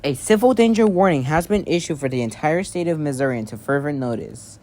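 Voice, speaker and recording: A man's voice: on the high side, expressive, a little fast, a little mellow, natural voice. A man playing a flustered, easily panicked emergency newsreader in an amateur alert parody, whose formal announcements lapse into rambling. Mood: formal, halting. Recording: noisy amateur home recording.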